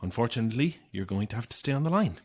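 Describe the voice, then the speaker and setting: Frightened woman, horror audio drama, very animated pitch, anxious, unravelling, whispers and cries. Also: rapid-fire. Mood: uneasy. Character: frightened, unravelling woman trapped on an empty housing estate.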